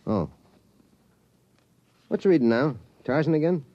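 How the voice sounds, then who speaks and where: A man's voice: a little high, lively intonation, a little slow, no echo. A middle-aged man playing a genial small-town father in an old radio drama, musing and folksy. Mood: amused, musing. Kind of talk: 1950s radio drama acting. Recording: muffled, dry vintage radio recording.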